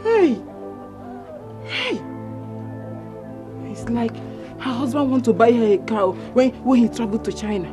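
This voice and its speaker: A woman playing a shrill jealous Nigerian neighbor. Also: a little slow, music in the background. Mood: mocking.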